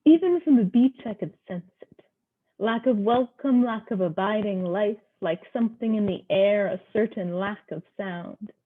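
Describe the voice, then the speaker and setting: A woman's voice: mid-range voice, moderate pace. A woman reading poetry aloud at an online open mic, recorded through a voice call. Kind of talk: poetry recitation. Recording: thin phone-like call audio.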